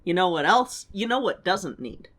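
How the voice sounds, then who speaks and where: Low-pitched, animated woman podcast host. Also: normal speed. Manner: enthusiastic. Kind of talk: casual banter.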